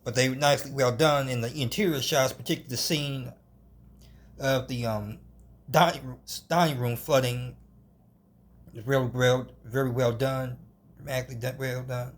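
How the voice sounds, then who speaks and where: A man giving an unscripted film review, halting, often restarting and hedging as he goes. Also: medium pitch, steady tone.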